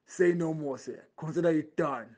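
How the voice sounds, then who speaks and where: A man playing an eager, servile henchman in an audio drama, repeating himself in an obedient drawl. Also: a little high. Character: eager, obsequious male henchman obeying his boss.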